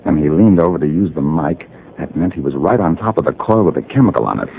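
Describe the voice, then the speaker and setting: An actor playing a plain-spoken male detective's friend in a 1950s radio drama, gruff and steady. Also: very deep voice, fast, a little hiss or hum, heavily acted. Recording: tinny lo-fi old radio recording.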